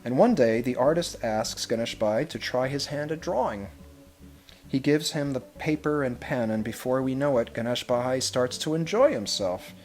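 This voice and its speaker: A man reads a picture book aloud as a school head would to children, with measured, expressive phrasing and pauses. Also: mid-range voice, faint music behind. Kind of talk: storybook read-aloud with brief asides.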